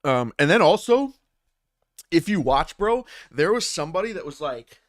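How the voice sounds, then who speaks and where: A man's voice: a little high, big pitch swings, moderate pace, no echo. A man chatting casually on a livestream about battle rap, rambling loosely with many restarts and filler like "man" and "bro", recorded on a studio dynamic mic at a home setup. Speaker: streamer and battle rap commentator. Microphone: studio dynamic mic through audio interface.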